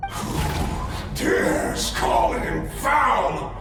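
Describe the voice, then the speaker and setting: An actor voicing a power-crazed villain in a video game, with halting, strained, dragged-out words. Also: medium pitch, wildly swooping pitch, a bit bright, shouting, soft background music, full character voice. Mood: outraged.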